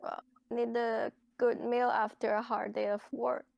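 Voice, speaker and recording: A woman playing a tabletop roleplaying game, chatting casually in character in a hesitant, low-key way. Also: level tone, a little slow, creaky, a little mellow, no room sound, dull audio.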